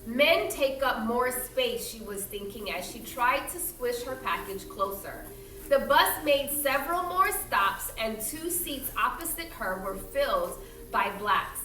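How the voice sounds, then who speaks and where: Higher-voiced woman reading aloud, echoey room. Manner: expressive, instructive, pausing to explain. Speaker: teacher reading a picture book aloud. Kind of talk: read-aloud storytelling with simple explanatory asides for young children. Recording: roomy echo, distant mic, faint noise.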